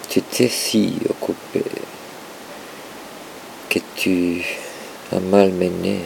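A man recites poetry in a low, heavily creaking voice. He breaks the verse into short, dramatic fragments with halting gaps between them. A faint rumbling hiss sits under the recording. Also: a little mellow, low-quality recording. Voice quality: gravelly, creaky. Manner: halting, dramatic delivery in short broken phrases. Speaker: poetry translator and performer. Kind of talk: performative poetry reading.

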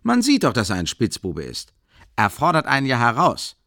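A man reading a story aloud who voices a big, rough house porter, loud, blunt and scolding. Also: medium pitch, huge pitch swings, normal speed, no echo, studio quality, pitched above the performer's own voice.

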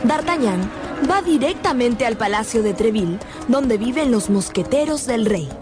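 High-voiced woman narrating a drama. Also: expressive, normal speed. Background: dramatic music.

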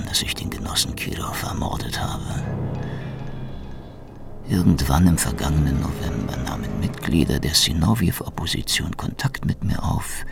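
A man playing a cynical Soviet secret police agent who confesses in a deliberate, formal recital, in a radio drama. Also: very deep voice, gravelly, heavily acted.

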